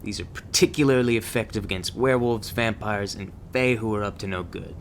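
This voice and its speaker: A man playing a jaded veteran monster-hunting agent in an ASMR roleplay, curt, deadpan and contemptuous. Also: fairly low pitch, fairly quick, a little hiss or hum, lightly acted. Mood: sardonic, curt. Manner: deadpan, curt, sarcastic, insulting.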